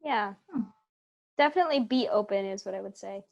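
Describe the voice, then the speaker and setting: A woman's voice: mid-range voice, expressive, a little fast, gravelly, muffled recording. A woman speaking conversationally as a theatre performer being interviewed. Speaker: actor and theatre company member, interview guest.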